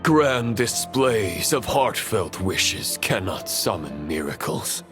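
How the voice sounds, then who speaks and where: Ancient game villain: cold, gloating, low and unhurried, grand delivery over music. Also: male voice, sing-song. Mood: scornful. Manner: grandiose, slow, deliberate. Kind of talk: scripted video game acting.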